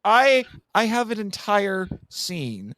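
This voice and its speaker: A man's voice: high voice, sing-song, slow pace, fairly bright tone, dry recording. A man chatting casually as a podcast guest, telling things in a rambling, theatrical way.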